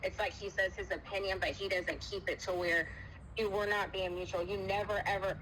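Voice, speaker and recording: Woman, mid pitch, emphatic and repetitive, arguing personally over a phone call with noticeable background noise. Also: little pitch movement, fairly quick. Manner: emphatic, repetitive, talks over the other speaker. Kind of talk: heated personal argument. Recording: noisy phone call recording.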